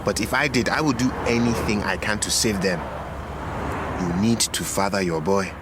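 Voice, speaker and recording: A man's voice: lowish voice, normal speed, lightly acted. A man playing a frank, insistent counsellor to a troubled friend in a naturalistic radio drama, speaking emphatically. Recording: loud outdoor city traffic noise.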